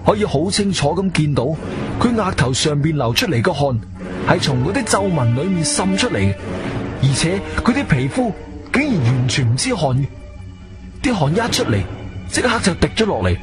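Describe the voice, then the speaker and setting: A shrewd adventurer telling his own story in a Cantonese radio drama. His voice sits a little high for a man and swings widely with emphatic, expressive delivery. Prominent music plays behind him. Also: normal speed. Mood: gripping, suspenseful. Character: male adventurer hero, shrewd, firm, questioning investigator. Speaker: narrator and lead actor. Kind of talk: dramatic first-person audio drama narration. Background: background music.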